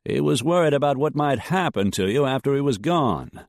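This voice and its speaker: A man doing a smooth, controlled Boston gentleman. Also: mid-range voice, expressive, moderate pace, no echo, studio quality, pitched above the performer's own voice. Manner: smooth, carefully controlled, unctuous. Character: older man, calm and controlled suitor.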